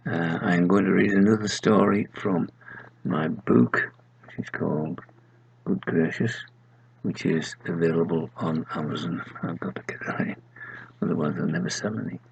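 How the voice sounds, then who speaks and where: A man's voice: low-pitched, fairly even intonation, normal speed, gravelly, husky, no room sound, rough recording. A man, the author, reading his own story aloud in a storybook narration style.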